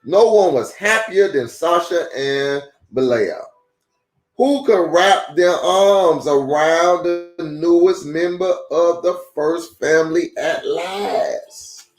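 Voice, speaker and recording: A man reads a children's storybook aloud like a pastor at storytime, in halting, deliberate phrases with casual asides. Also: a little high, faint music behind. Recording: echoey, roomy sound.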